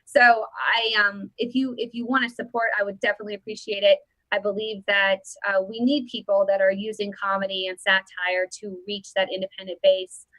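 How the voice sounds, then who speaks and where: A woman's voice: mid-range voice, level tone, fairly quick, hardly any pauses, pushed and bright, no echo, rough recording. A woman in her thirties hosting a casual political commentary show on a webcam, rambling with many restarts. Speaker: host.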